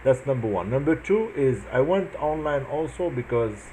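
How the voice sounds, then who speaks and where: A man in his forties from Morocco speaks in a mid-range voice, earnest and halting, often restarting his words. Faint noise sits under the recording. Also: mid-range voice, expressive, mellow, low-quality recording. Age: 40s. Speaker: vlogger answering his wife's questions. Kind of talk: casual chat.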